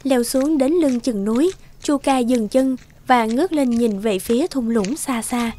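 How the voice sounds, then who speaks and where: A woman narrating a scripted children's fairy tale with expressive storytelling. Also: a little high, unhurried, slight background noise.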